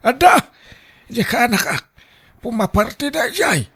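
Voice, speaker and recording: Casting: performer doing folksy older Ilocano haggler for radio drama, raspy and unhurried, gruff temper. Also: mid-range voice, big pitch swings, a bit bright, full character voice. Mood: gruff, casual.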